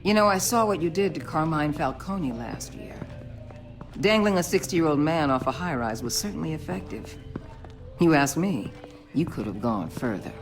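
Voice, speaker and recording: A woman's voice: low-pitched, animated, normal speed. A hard, commanding female agency boss in a dramatic video game. She speaks bluntly and with ruthless authority. Faint music sits behind her voice.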